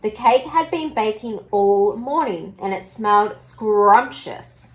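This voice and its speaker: A woman storyteller reading a children's picture book aloud in an expressive style. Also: mid-range voice, takes it slowly, rough recording.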